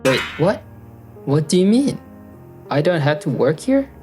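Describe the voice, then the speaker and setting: A woman's voice: big pitch swings, unhurried. A woman playing an earnest, loyal young office worker and narrator in a manga dub, speaking in a conversational way. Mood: baffled, hurt. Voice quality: low-pitched voice. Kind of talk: anime-style manga dub with first-person narration. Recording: background music under the voice. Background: music.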